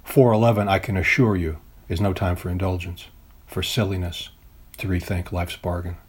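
Low, husky man reading poetry. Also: steady tone, normal speed. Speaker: poet reading own poem. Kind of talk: literary poetry reading, deliberate.

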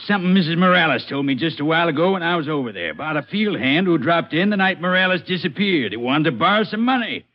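An actor playing a folksy, shifty farmer with a rural Texan drawl in a 1950s radio western, eager to sound helpful. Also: male voice, fairly high pitch, lively intonation, fast talker, few pauses, lightly acted. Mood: evasive, insistent. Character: folksy, shifty married farmer, slick-talking countryman. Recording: muffled, noisy 1950s radio recording.

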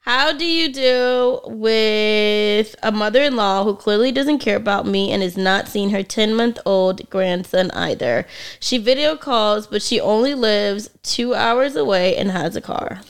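A woman's voice: medium pitch, a little slow, clean tone. A young woman hosting a podcast, chatting casually, firing off questions and laughing often. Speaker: podcast host.

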